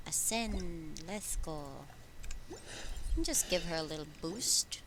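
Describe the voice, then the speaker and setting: A woman's voice: on the low side, slow pace, background noise. A woman doing casual, chatty gameplay commentary as a live streamer, with muttered asides to herself. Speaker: live streamer playing a video game.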